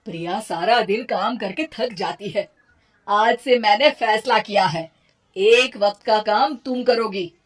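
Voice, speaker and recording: Stern, slow-spoken cartoon mother-in-law played by a woman. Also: medium pitch, lightly acted. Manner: sarcastic, scolding.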